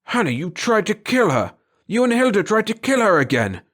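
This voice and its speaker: A commanding middle-aged male scientist as a narrator acts him out, obsessed and formal. His speech is insistent and lifted in pitch, in the manner of a dramatic audiobook. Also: very animated, normal speed, a bit bright, heavily acted. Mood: agitated, accusing. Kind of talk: dramatic audiobook character reading.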